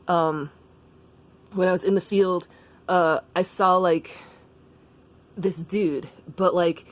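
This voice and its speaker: A woman's voice: on the low side, close to an everyday voice. A woman playing a laid-back, blunt schoolgirl slacker in a comic story, slangy and casual, with a drawling delivery.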